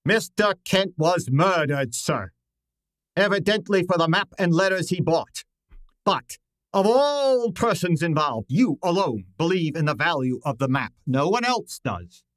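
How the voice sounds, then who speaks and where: A man's voice: fairly high pitch, animated, no echo. Man acting an imperious, formal radio detective. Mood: stern, accusing. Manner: formal, deliberate, interrogating. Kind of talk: old-time radio drama acting.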